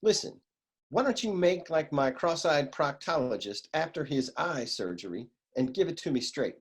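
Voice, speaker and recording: Husky wisecracking noir detective, acted by a man. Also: a little high, normal speed. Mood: deadpan, sardonic. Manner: deadpan, wisecracking. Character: hard-boiled wisecracking private detective in a comic noir serial. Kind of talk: parody noir radio drama acting.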